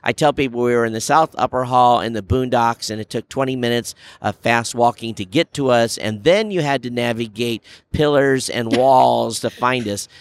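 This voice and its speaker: A man hosting a podcast in a casual, chatty style, with halting phrasing. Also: medium pitch, clear, resonant tone, no echo. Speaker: podcast host. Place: booth in a very large trade show hall. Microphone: podcast microphone.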